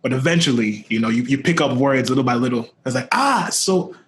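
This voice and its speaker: A man hosting a podcast interview in a relaxed, easygoing way, laughing often and leaning on "you know". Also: mid-range voice, fairly quick, slight background noise. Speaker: podcast host. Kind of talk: casual interview chat.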